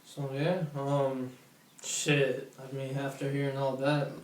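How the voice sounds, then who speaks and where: A man's voice: medium pitch, completely flat delivery, slow, distant mic. Brazil-raised man, blunt casual talker, set back from the mic in an echoey room with faint background noise. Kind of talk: casual chat.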